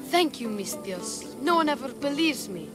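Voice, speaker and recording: Lady doing a feisty little boy in a video game, high voice, super animated, music behind. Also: normal speed. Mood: excited. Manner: earnest, excitable, defiant. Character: eager, feisty young Greek boy, adventurer's child. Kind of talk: video game character acting.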